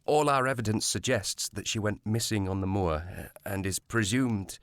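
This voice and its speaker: An actor playing a polite, sympathetic police sergeant in a period audio drama, formal, hesitant and apologetic. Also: male voice, fairly low pitch, moderate pace, no echo, natural voice. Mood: awkward, apologetic.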